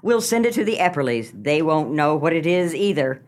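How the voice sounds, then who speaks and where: A woman's voice: low-pitched, big pitch swings, moderate pace. A woman acting a bossy, fussy, melodramatic wife and mother in a comic radio play, with a theatrical delivery. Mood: impatient. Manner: theatrical, dramatic.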